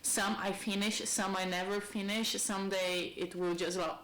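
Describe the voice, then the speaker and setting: A woman's voice: mid-range voice, little pitch movement, moderate pace, fairly bright tone, a little hiss or hum. A Brazilian woman in her thirties, speaking English as a second language with a heavy Brazilian Portuguese accent, thinking aloud in a rambling personal vlog at home. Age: 30s. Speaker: YouTuber.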